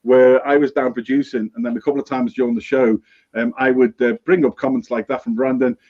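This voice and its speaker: A man's voice: mid-range voice, level tone, a little fast. A male show host chatting casually and upbeat on a live stream, calling people mate, heard off-screen over a remote feed. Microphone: remote audio feed, off-screen. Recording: lo-fi dull sound.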